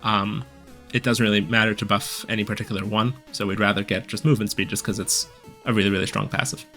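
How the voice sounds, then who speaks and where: A man calmly explaining a game strategy while he plays, a little hoarse from a cold, with many filler ums. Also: on the low side, quick pace, soft background music. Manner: relaxed, explanatory, frequent ums. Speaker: gameplay guide commentator. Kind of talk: casual gameplay commentary.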